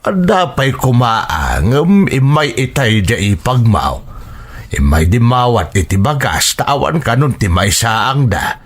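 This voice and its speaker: Guy acting as ilocano working dad in audio drama, husky, voice swings up and down. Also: medium pitch, big pitch swings, slow, full character voice. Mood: pressing, grumbling. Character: working-class Ilocano father asking after money.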